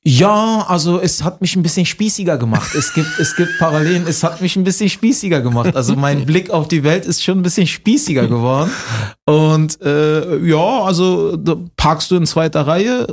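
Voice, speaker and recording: A man's voice: fairly high pitch, animated, normal speed, few pauses. Jokey man in casual conversation. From Berlin, Germany. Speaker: stand-up comedian, interview guest. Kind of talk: casual chat.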